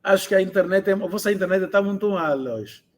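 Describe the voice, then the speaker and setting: A man teaching an online language class, explaining and translating phrases and repeating them slowly for learners. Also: high voice.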